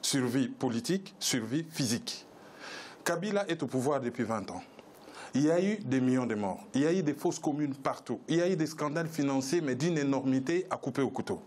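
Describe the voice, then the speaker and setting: A man's voice: mid-range voice, expressive, fairly quick, a little mellow. A man arguing as a political panel guest in an emphatic, rhetorical way, driving points home with repetition. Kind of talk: political debate commentary.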